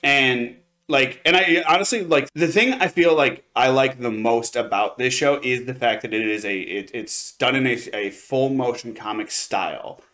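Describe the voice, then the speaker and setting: A man's voice: mid-range voice, normal speed, few pauses, bright, forceful tone. A man co-hosting a review show, chatting casually and enthusiastically in a hedging, conversational way. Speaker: podcast co-host reviewing anime.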